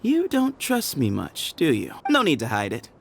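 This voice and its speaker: A man's voice: a little high, wildly swooping pitch, normal speed, vocal fry, husky, full character voice. An actor playing a cocky, sly young man who leads a desert band in an anime game, teasing and theatrical. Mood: taunting.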